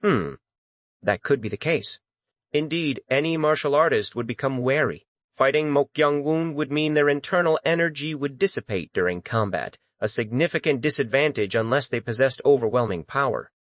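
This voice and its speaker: A man's voice: mid-range voice, lively intonation, fairly quick, a bit bright, no room sound. Dry-sounding man narrating dramatic fantasy. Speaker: audiobook narrator.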